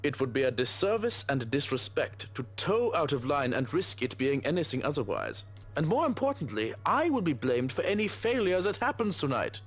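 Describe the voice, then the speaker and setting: Snooty german butler, scolding and clipped, pitch jumps all over, video game character. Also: male voice, wildly swooping pitch, moderate pace, breathy edge, faint music behind, full character voice. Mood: stern warning. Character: stern, haughty, suspicious German male butler. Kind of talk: visual novel game voice acting.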